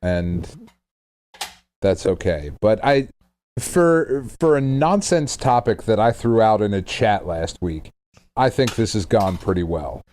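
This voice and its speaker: A man's voice: fairly low pitch, animated, takes it slowly, a little mellow, a little hiss or hum. A middle-aged man chatting casually as a podcast co-host, irreverent and emphatic, swearing freely as he rants. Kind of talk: casual chat.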